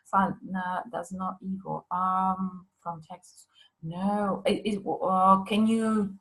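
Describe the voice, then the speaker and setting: A woman's voice: mid-range voice, little pitch movement, slow pace, fairly bright tone, low-quality recording. A woman presenting a teaching course in a live online question session, chatty and hesitant, with many fillers and restarts. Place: Limassol.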